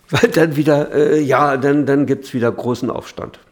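A man speaking spontaneously in an interview, thoughtful and hesitant, feeling his way through sentences. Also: medium pitch.

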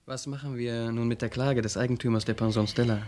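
An actor playing a wary, measured man in a radio crime drama, speaking formally and deliberately. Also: mid-range voice, steady tone, a little mellow, natural voice. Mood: matter-of-fact. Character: wary, measured man investigating a shady death.

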